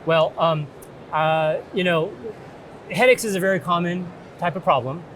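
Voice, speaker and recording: Middle aged guy, doctor talk, reassuring, bit high voice, light hall noise. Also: normal speed, a bit bright. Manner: reassuring, explanatory. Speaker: doctor in a mock patient consultation. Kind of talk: conversational clinical consultation. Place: open booth in large indoor exhibition hall. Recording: noisy trade show hall background.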